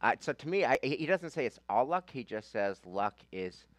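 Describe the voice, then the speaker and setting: A man's voice: mid-range voice, sing-song, moderate pace, no room sound. A man leading a study class as a rabbi, debating emphatically and repeating phrases for stress.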